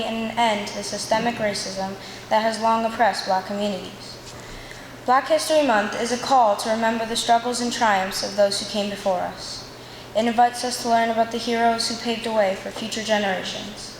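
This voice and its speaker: Woman giving a formal speech in an echoing hall. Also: fairly high pitch. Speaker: student speaker. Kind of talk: prepared speech to an audience. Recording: echoey hall with faint noise.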